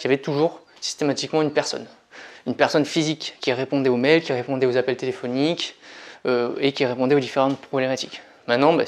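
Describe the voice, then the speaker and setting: A man presents a lesson as a teacher would, at an average pitch. He explains things step by step in an informal, conversational register. He puts clear emphasis on the key points. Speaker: teacher, presenter of business-course revision videos.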